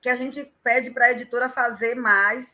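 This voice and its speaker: A woman guest on a live chat, talking lively and emphatically as she gives advice. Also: a little high, normal speed, lo-fi sound. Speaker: guest storyteller and author.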